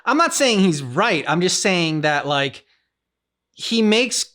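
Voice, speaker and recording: Rambling man with a slightly high voice. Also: sing-song, slow pace, fairly bright tone. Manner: rambling, repeats and restarts phrases. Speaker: co-host of a video game reaction show. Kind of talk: casual discussion of a game's story.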